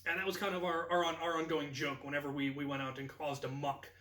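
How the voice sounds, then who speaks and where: American man, thirties, higher-pitched bright voice, animated casual storyteller, echoey room. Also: fairly quick. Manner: animated, acts out quoted lines. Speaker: video host and storyteller. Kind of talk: casual anecdotal storytelling to camera. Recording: echoey room, distant microphone.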